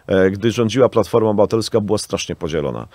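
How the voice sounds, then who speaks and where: A man's voice: fairly low pitch, animated, fast, darkish tone, dry recording, clean studio sound. A man in his thirties speaking as a guest politician in a radio interview, insistent and full of hesitant fillers, addressing the host formally. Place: small radio studio with acoustic panels. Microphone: studio microphone on arm.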